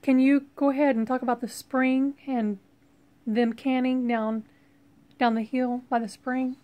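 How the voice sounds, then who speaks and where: Woman, slightly high voice, casual interviewer, conversational questions, outdoor porch setting. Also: flat delivery, a little slow, muffled recording.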